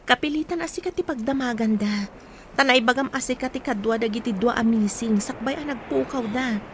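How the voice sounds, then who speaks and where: A woman playing a high-voiced, insistent young Ilocano investigator. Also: a little fast, a bit bright, slight background noise, natural voice. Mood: accusing, pressing. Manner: probing, insistent questioning. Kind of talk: Ilocano radio drama acting.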